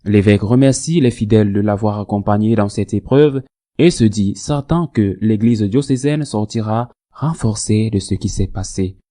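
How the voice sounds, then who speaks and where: A man presenting scripted religious news and editorial commentary in a measured, formal register. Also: fairly low pitch, dark tone.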